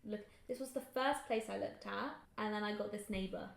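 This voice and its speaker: A woman's voice: mid-range voice, moderate pace, gravelly. A young woman co-hosting a podcast, chatting in a gushing, laugh-prone way. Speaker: podcast co-host. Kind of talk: casual chat. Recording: echoey room.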